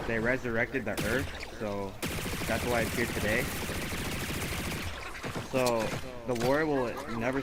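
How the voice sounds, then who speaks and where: Man rambling through a story, noisy recording. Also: lowish voice. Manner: rambling, halting, self-correcting. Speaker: gamer telling an improvised story in character. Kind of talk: casual improvised in-game storytelling.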